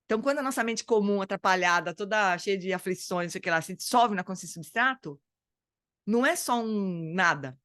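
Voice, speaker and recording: A woman's voice: mid-range voice, animated, fairly quick, a bit bright, clean tone, no echo, studio quality. A woman leading a dharma study group, explaining in a casual, hesitant way and often stopping to search for words. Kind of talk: casual spoken teaching.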